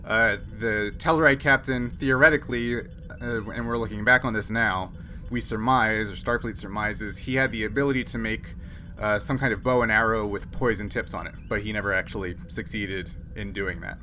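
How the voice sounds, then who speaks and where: Bright-voiced man running a tabletop game. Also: lowish voice, steady tone, moderate pace, a little hiss or hum. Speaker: game master. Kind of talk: explaining and ruling in a tabletop game.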